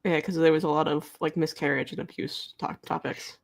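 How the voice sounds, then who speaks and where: A woman speaks in a fairly low voice with heavy vocal fry. She is a panelist in a casual livestream book chat, giving her views in a frank, informal way. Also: level tone, a little fast. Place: remote livestream call.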